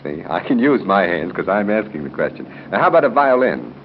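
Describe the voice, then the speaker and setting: A deep-voiced American man hosts a 1930s radio quiz with a lively, swinging delivery. He banters genially and jokes as he goes. The sound is a muffled, noisy old broadcast. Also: a little fast. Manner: genial and joking, chuckles between questions. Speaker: quiz show host. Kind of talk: 1930s live radio audience quiz hosting, ad-libbed banter. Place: hotel room at a club luncheon.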